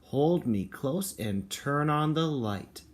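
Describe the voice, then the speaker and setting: A man's voice: on the high side, animated, slow pace. A man speaking as a kindergarten teacher, explaining and reading aloud to young children and parents.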